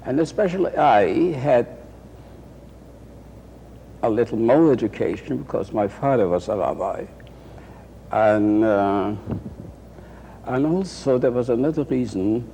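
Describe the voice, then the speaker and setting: Slow older man. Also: medium pitch, animated, gravelly, dark tone. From Poland. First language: Yiddish. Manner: slow, halting, with frequent pauses and restarts. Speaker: interviewee. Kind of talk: recorded oral-history reminiscence. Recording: roomy archival tape with faint hiss.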